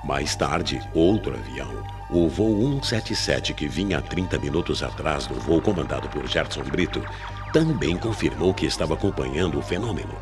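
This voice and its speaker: A Brazilian man narrating a scripted radio mystery drama in a solemn, theatrical manner with deliberate phrasing. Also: a bass voice, expressive. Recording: music behind narration. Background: music.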